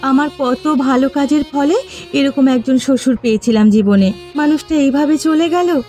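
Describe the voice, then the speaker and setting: A woman's voice: high-pitched, normal speed, darkish tone, faint music behind, lightly acted. A woman playing a grieving, reproachful village daughter-in-law in a cartoon drama, emotional and accusing. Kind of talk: Bengali cartoon drama dialogue.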